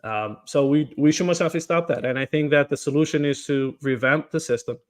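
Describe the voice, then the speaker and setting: Man, political candidate, earnest, repeats words, middle pitch, podcast interview. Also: fast. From Portugal.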